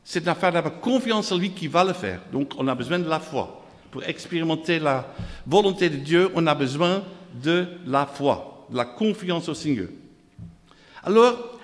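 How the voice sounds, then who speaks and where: Dutch-accented preacher in a reverberant hall. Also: male voice, fairly high pitch, animated, moderate pace. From Netherlands. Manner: reads scripture aloud in quotes; repeats phrases in rhythmic lists. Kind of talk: sermon.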